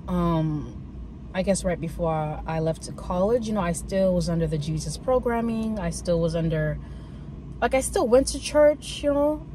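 A woman's voice: on the low side, warm tone, poor audio. A woman in her twenties talking casually to camera from a parked car, reflective and full of fillers and restarts. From United States. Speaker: vlogger. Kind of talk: casual personal talk to camera. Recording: car interior with clear road noise.

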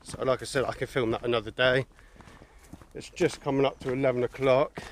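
A plain-spoken man in his thirties talks into a handheld camera while walking outdoors. His voice sits at an ordinary middle pitch, with a faint hiss of outdoor noise behind it. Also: level tone, brisk. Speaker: hiking vlogger. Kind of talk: casual walking vlog to camera. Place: outdoors on a wooded coastal cliff path. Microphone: handheld camera held at arm's length.

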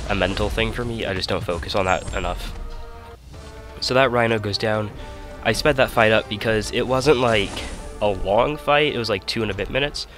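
Stuffy-nosed man narrating mobile game fights. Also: lowish voice. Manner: relaxed, rambling, self-correcting. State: stuffy nose. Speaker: gaming channel host. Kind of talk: casual gameplay commentary. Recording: voice-over with loud game music behind.

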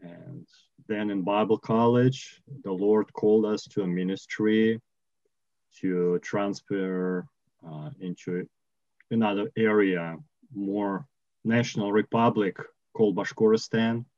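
A man in his thirties with a Russian accent, a missionary answering interview questions on a video call, slowly and carefully with many pauses. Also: fairly low pitch, fairly even intonation, darkish tone, dry recording. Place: home room with bookshelves, indoors. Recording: dull lo-fi call audio.

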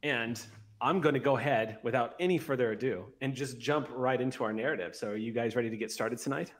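A middle-aged man running a tabletop roleplay game as game master, narrating into a close mic with some halting self-corrections. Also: medium pitch. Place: indoor room, on a group video call. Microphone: microphone on an arm, close to mouth.

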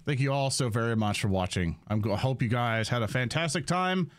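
A man's voice: medium pitch, lively intonation, fairly quick, dry recording. A man hosting as game master, narrating a live-play tabletop game and talking casually to viewers.

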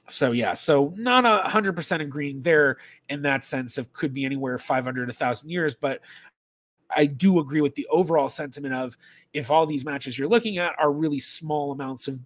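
A man in his thirties, a genealogist, chatting casually on a livestream from a small room at home, often thinking aloud with restarts and fillers. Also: fairly high pitch. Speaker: genealogist streamer. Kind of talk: casual livestream chat reading questions.

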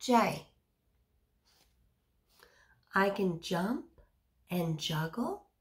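A woman's voice: fairly low pitch, big pitch swings, extremely slow. A woman in her thirties reading a picture book aloud to young children as a teacher, explaining simply and putting questions to her listeners.

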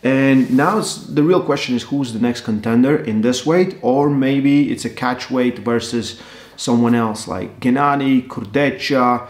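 Man in his thirties, casual animated analysis, mid-pitched, roomy sound. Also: a little slow. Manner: animated, gesturing, conversational with fillers. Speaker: arm wrestling coach and analyst. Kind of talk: casual post-match analysis. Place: living room, seated on a sofa. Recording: echoey room.

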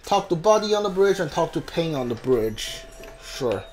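Halting gamer muttering through a playthrough. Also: medium pitch, sing-song, a little mellow. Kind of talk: casual play commentary, reading guide aloud.

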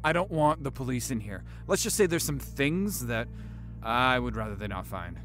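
An actor playing a menacing, smug billionaire CEO in an audio drama, taunting and condescending. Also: male voice, mid-range voice, very animated, normal speed, fairly bright tone. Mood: quietly menacing. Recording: music bed behind dialogue.

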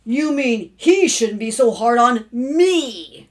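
A woman's voice: fairly high pitch, animated, slow pace, voice pushed up. A woman voicing an angry, grumpy father in a children's storybook, emphatic and indignant. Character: angry, exasperated grumpy father, adult man. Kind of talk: children's storybook read-aloud.

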